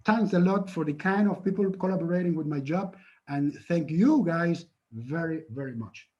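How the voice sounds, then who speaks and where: A man giving an academic conference lecture and answering questions, earnest and halting, with many fillers and restarts. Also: a little high, dark tone. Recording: muffled lo-fi lecture recording.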